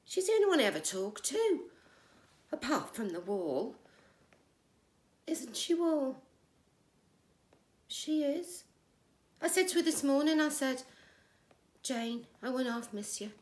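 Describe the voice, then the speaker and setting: A woman's voice: high-pitched, darkish tone, exaggerated voice. A woman acting a jaded middle-aged housewife in a wry, confiding, gossipy comic monologue. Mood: exasperated, amused. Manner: wry, confiding, gossipy, with rhetorical tag questions. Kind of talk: conversational comic stage monologue. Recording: echoey room.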